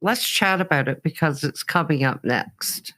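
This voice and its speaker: A woman's voice: sits low, lively intonation, normal speed, fairly bright tone. Female radio-style interviewer, hosting a music show and putting questions to guests. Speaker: host.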